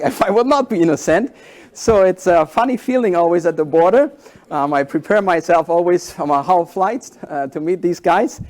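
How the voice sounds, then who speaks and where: A man in his forties whose first language is German, giving a conference keynote in halting English with animated storytelling. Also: fairly high pitch. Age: 40s. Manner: animated storytelling, halting non-native phrasing. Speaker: keynote speaker.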